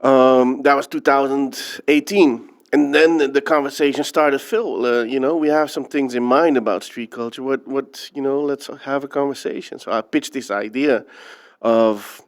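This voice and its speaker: Smooth-voiced earnest male guest. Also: medium pitch, normal speed. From Netherlands. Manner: earnest, reflective storytelling. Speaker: filmmaker guest. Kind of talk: interview conversation.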